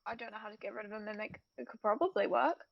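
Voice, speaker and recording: A woman's voice: mid-range voice, fast talker, creaky, dry recording. A woman chatting casually as a player in a tabletop roleplaying game over a dull mic.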